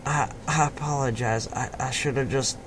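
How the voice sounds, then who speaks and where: A man's voice: medium pitch, steady tone, normal speed, noisy background, pitched above the performer's own voice, close to an everyday voice. A man voicing a drunk young male country pony in a fan fiction reading, stammering and folksy as he anxiously apologizes. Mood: apologetic, pleading. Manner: stammering, folksy, grumbling speech.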